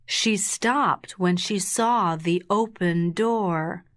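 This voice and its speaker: A woman storyteller giving deliberate, expressive scripted narration of a children's story for language learners. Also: lowish voice.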